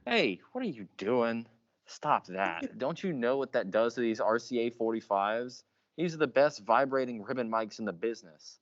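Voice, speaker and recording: A young man playing a grandiose, obsessive radio announcer, theatrical and boastful in a high school radio play. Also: lively intonation, moderate pace, no echo, close to an everyday voice. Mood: scolding. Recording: dull lo-fi recording.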